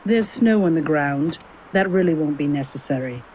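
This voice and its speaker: A gracious queen of an audio drama, speaks in a low register with measured formality. She is composed as she addresses her subjects. There is clear noise and ambience behind her. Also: expressive, a little mellow, rough recording.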